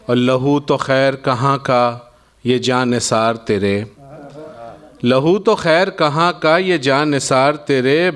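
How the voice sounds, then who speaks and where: A male poet recites ghazals before a literary gathering at a very unhurried pace, in a mid-range voice. His delivery is measured and declamatory, and he returns to lines to give them emphasis. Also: mid-range voice, a bit bright. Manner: measured declamation, repeating each line for emphasis. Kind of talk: live Urdu ghazal recitation at a poetry gathering.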